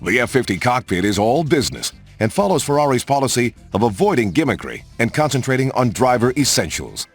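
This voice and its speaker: Lively male car showcase narrator over music. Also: mid-range voice, a little fast, few pauses, slightly rough. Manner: polished, emphatic announcer delivery. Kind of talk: scripted promotional car narration. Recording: music under the voice. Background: background music.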